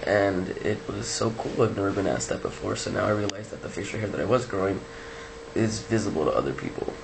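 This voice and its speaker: A man talking casually to his camera in a relaxed, rambling way with many ums, sounding like he just woke up. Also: fairly low pitch, a little fast, gravelly. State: just woke up, low-key. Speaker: vlogger. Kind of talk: casual video diary. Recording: noisy background hiss.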